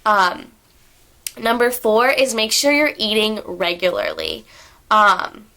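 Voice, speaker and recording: A woman's voice: medium pitch, expressive, takes it slowly, creaky, sharp tone. A young woman vlogger talking casually to camera at home, stuffy-nosed from a cold, chatty and full of fillers. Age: young adult. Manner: chatty, filler-heavy, tangents. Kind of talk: casual sit-down vlog talk. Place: indoors at home.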